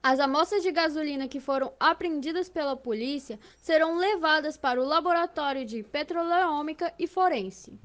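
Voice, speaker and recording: A woman's voice: high-pitched, a bit bright. A woman with a Brazilian accent voicing a patient female inspector who explains step by step in a scripted educational cartoon.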